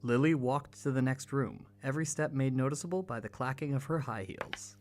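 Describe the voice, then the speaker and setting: A man's voice: mid-range voice, a little fast, over music. A man narrating a scripted story as the narrator of an audio drama.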